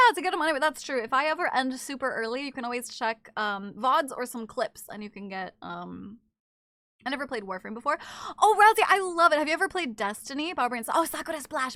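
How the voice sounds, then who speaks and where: A high-pitched woman streams live and talks to her chat, animated and teasing, full of exclamations. Her voice is bright and sharp. The sound is dry and close, with no room echo. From not from Japan.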